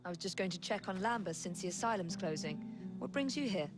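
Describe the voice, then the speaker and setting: A woman's voice: lowish voice, animated, fairly quick, over music, natural voice. A young woman playing a poised, direct Victorian assassin in a video game. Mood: calm. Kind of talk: video game cutscene acting.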